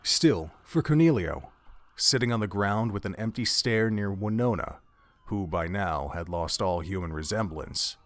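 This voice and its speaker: A man reading dramatic scripted narration for an audio drama, in the manner of an audiobook. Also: sits low.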